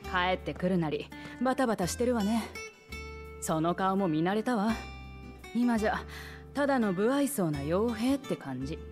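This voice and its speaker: An actress playing a brisk, sassy, familiar colleague in a Japanese video game, blunt and casual in manner. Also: female voice, mid-range voice, lively intonation, music in the background, natural voice. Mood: wry teasing.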